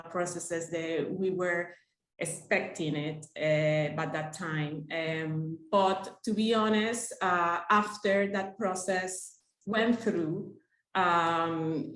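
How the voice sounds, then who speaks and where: A woman's voice: fairly low pitch, fairly bright tone. A woman in her thirties, a deputy manager, answering interview questions with many fillers. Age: 30s. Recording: roomy echo.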